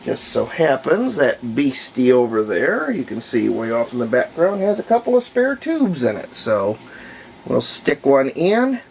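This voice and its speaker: A man's voice: medium pitch, sing-song, vocal fry, a little mellow. Man thinking aloud, background noise. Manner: thinks aloud in short, halting phrases. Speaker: hobbyist demonstrating antique radio repair. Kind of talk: casual hands-on narration while working. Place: room at home, indoors.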